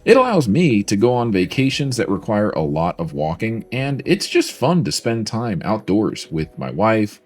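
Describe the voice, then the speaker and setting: A man's voice: lowish voice, normal speed, hardly any pauses, slightly rough. A man, presenting a scripted product video in an upbeat, salesy way. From Florida, USA. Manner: upbeat, salesy, lively intonation. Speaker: mobility scooter company presenter.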